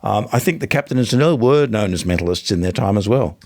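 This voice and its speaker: A man's voice: on the low side, expressive, quick pace. An Australian man hosting and interviewing in a casual, chatty, rambling and tongue-in-cheek way. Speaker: podcast host and interviewer.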